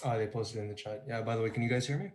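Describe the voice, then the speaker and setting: A man chatting casually with a student breakout group on a video call, slangy and excitable. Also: medium pitch, very fast. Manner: slangy, excitable, laughs loudly. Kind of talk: casual chat.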